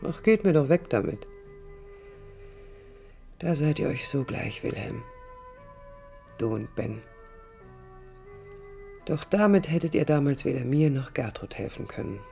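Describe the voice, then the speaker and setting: A woman's voice: rumbling low, vocal fry, mellow, exaggerated voice. An actress playing a passionate, reflective young woman architect in a radio play, with a literary, introspective delivery. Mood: melancholy, disillusioned. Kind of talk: radio play inner monologue and dialogue. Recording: studio radio play with music.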